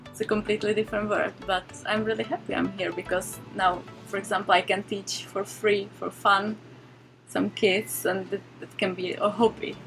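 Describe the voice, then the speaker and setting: Young woman, soft and short phrases, english, faint music behind. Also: medium pitch, flat delivery, moderate pace, gravelly, poor audio. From Czech Republic. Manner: soft-spoken, short phrases, light laughs. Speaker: guest, music teacher turned property investor. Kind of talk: casual interview chat.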